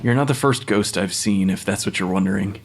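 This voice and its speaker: A man's voice: lowish voice, brisk, gravelly, background noise. An actor playing a blunt young male pathologist in an audio drama, speaking in a matter-of-fact, clinical way. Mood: awkward, reassuring. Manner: clinical, matter-of-fact, dictating notes formally. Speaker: actor in fiction podcast.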